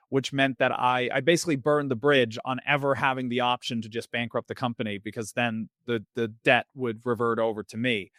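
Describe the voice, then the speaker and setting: A man's voice: mid-range voice, no room sound, clean studio sound. A man raised in Sweden who speaks with an American accent, telling his story as a podcast guest and acting out the voices of people from his past. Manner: animated, acts out both sides of past conversations. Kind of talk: conversational storytelling.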